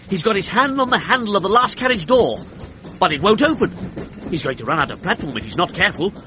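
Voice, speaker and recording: An actor playing an excitable 1930s British radio sports commentator, narrating with theatrical, plummy exclamations. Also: male voice, high voice. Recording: dull radio sound, noisy crowd behind.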